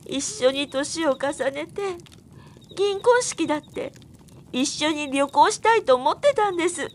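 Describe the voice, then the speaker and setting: A woman's voice: high voice, animated, unhurried, a little hiss or hum. An actress playing an earnest, heartbroken young woman in an audio drama, girlish and plaintive. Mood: sad, wistful.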